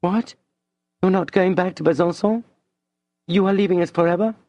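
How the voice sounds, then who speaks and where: A gentle, tearful lady with a soft, pleading delivery, as a male narrator lifts his voice well above his own. The sound is dry and close, with no room around it. Every line carries emotion held just below the surface. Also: on the high side, animated, normal speed, dry recording, voice pushed up. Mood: shocked. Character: tender, tearful woman, gentle and anxious. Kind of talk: audiobook reading of a classic novel.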